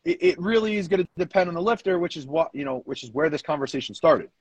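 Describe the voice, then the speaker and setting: Husky-voiced man co-hosting a casual podcast. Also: on the high side, animated, fast, no echo. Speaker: podcast co-host giving coaching opinions. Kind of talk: casual discussion.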